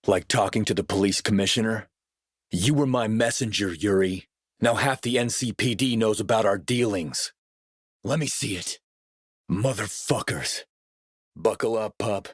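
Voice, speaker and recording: A man playing a ruthless, middle-aged militia warlord in a video game, with a rough husky voice and a commanding, threatening manner. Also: on the low side, lively intonation, unhurried, no echo, studio quality. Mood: gruff command. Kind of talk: scripted video game character lines.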